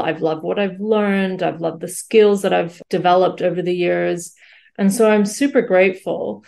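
Lowish gravelly woman in her 40s, from singapore, chatting as a podcast guest over a call, lots of um and you know. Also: darkish tone. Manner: reflective, with frequent fillers and restarts. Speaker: guest, life and career coach. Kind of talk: conversational storytelling.

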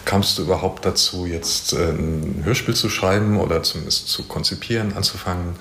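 A man's voice: low voice, steady tone, normal speed, creaky, a bit bright. A man asking casual questions as an interviewer, recorded with roomy echo and background noise.

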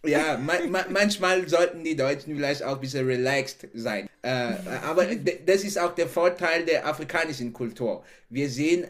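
A man's voice: a little high, moderate pace, hardly any pauses. Man, laughing often. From Cameroon. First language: not German. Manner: animated, laughs often, repeats "genau" and "ne". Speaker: podcast guest. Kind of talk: casual interview chat.

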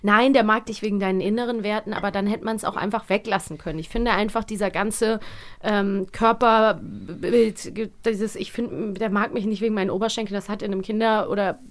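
A woman's voice: medium pitch, fairly even intonation, fairly quick. A woman co-hosting a podcast in casual discussion, speaking frankly and giving her opinions openly. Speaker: podcast co-host.

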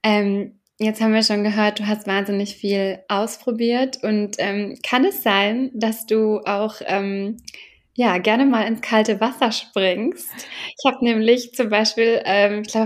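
A woman's voice: medium pitch, fairly even intonation, measured pace. A woman hosting an interview, asking questions in a friendly way with many filler sounds. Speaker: interviewer, podcast host. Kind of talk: conversational interview.

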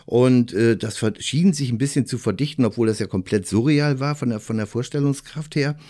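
A man chatting casually as a sports journalist, telling anecdotes in a halting, chuckling manner. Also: medium pitch, dark tone. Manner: halting, chuckling while reminiscing.

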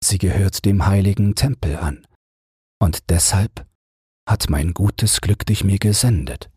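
A man voicing an affectionate young prince in a fairy tale audiobook, speaking in an earnest, tender, unhurried way. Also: low voice, mellow, husky, no echo, studio quality, heavily acted. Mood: fond, earnest.

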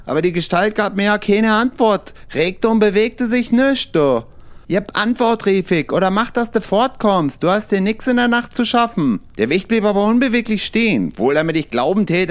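Man playing a naive young simpleton, very animated. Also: high-pitched, normal speed. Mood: animated storytelling. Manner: naive, folksy storytelling. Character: simple, fearless young fairy-tale man, the miller's foolish son. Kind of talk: fairy-tale audio drama acting.

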